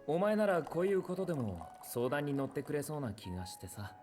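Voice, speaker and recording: A man's voice: on the high side, sing-song, racing, dark tone. A man voicing a dry, caring teenage schoolboy in an anime, speaking informally and matter-of-factly. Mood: serious explaining. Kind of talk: anime voice acting. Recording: music behind the dialogue.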